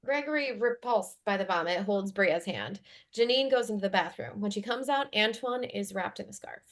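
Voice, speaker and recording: Woman reading stage directions, medium pitch. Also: normal speed. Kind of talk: scripted narration.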